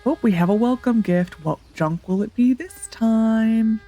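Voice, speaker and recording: A woman's voice: a little high, unhurried, warm tone, muffled recording. Congested woman rambling over gameplay. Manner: rambling, thinking aloud with many fillers. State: congested, nasal from allergies. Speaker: let's play gamer. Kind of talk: casual gameplay commentary.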